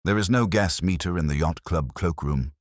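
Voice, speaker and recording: A man voicing a deep, calm police inspector. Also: no echo, studio quality, lightly acted. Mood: gentle probing. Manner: gentle, measured questioning. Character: calm, courteous, persistent male police inspector.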